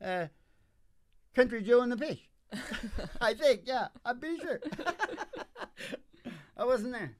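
A man's voice: extremely high pitch, expressive, slow, pauses often, dry recording. A man in his sixties hosting a show in a folksy, rambling, chuckling way, talking casually into a close microphone. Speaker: host, country singer. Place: small curtained studio. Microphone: stand microphone up close. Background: acoustic guitar.

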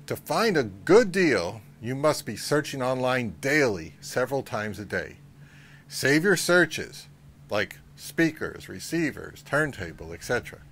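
Middle-aged man with lively, rising-and-falling pitch. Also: slight background noise. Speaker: host of a vintage audio channel. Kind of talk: advice talk to camera. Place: outdoors, among trees or on a porch.

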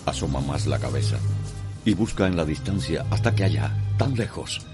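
Casting: deep Cuban man, theatrical scripted narrator for radio serial, music under the voice. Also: lively intonation. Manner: measured, literary, dramatic. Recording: music behind the voice.

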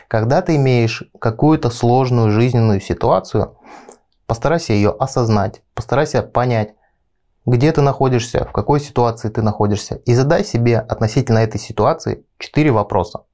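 A man in his thirties speaks as a coach in an instructional video. His voice is mellow and relaxed, at a middling pitch. He explains step by step and talks straight to the viewer, recorded on a lapel mic in a small studio. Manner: explanatory, step-by-step, direct address. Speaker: video blog host, coach and trainer. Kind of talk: instructional talk to camera, addressing the viewer. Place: small indoor studio with panelled backdrop.

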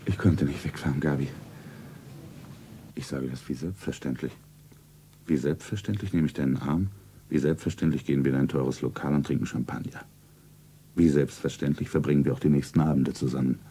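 Ein Schauspieler spielt in einem Hörspiel einen reichen, lebensmüden Geschäftsmann als zärtlichen, feierlich sentimentalen Liebhaber. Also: male voice, rumbling low, steady tone, a little fast, pauses often, dark tone, slight background noise. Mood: tender. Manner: sentimental, solemn, self-narrating. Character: rich, world-weary businessman, solemnly tender lover. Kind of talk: German radio play acting.

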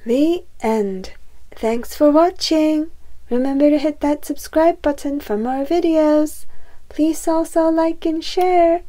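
A woman's voice: high-pitched, takes it slowly, mellow. A woman reading a picture book aloud for children as a storyteller. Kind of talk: read-aloud narration for children.

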